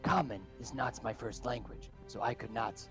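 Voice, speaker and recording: Deadpan man roleplaying at a game table. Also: mid-range voice, moderate pace, over music. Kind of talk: in-character improvised roleplay.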